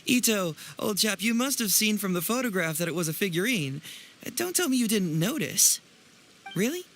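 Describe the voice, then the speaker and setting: Wry, articulate young detective. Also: male voice, high-pitched, big pitch swings, moderate pace, a bit bright, full character voice. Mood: teasing. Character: clever, teasing young male detective, smooth show presenter. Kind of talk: animated video game voice acting.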